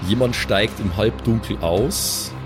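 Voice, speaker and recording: A man's voice: fairly low pitch, slow, over music. A man, improvising as game master in a colloquial, descriptive way. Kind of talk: improvised tabletop game narration.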